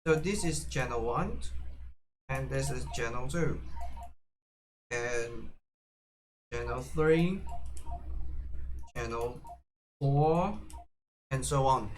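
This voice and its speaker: A man gives an informal tutorial at a slow pace, with clear background noise around him. He speaks haltingly in an average-pitched voice, often doubling back to restart or repeat a phrase as he demonstrates. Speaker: tutorial presenter. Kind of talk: casual unscripted how-to demonstration. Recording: clearly noisy background ambience.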